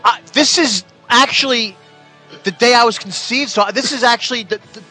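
A man's voice: very high voice, normal speed, sharp tone, faint music behind, poor audio. Stammering, flustered man in his thirties. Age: 30s. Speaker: radio show cohost.

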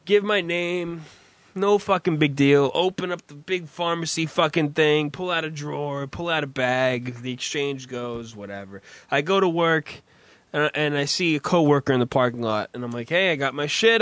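Guy with a slightly raspy higher voice, swearing a lot, dry close mic. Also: moderate pace, fairly bright tone, dry recording. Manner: profane, rambling storyteller. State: raspy from a cough. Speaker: podcast host. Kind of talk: crude comedic podcast chat.

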